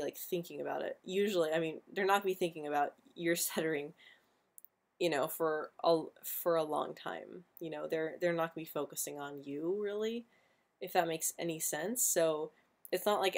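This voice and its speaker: A woman's voice: deep voice, darkish tone. A young woman hosting a casual live stream, talking with a frequent stutter that repeats sounds and words. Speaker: livestream host answering viewer questions.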